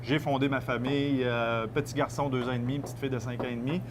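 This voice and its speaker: A man's voice: medium pitch, level tone, quick pace, noisy background. A man in his thirties with a Québécois French accent, a small-business owner who explains his work eagerly, with many fillers. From Québec. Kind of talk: casual interview.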